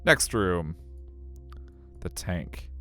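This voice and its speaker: A male streamer with a deep, resonant voice. He reads story text and comments on the game in a casual, rambling way, with quiet music underneath. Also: animated, very slow. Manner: rambling, informal. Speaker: streamer reading a visual novel.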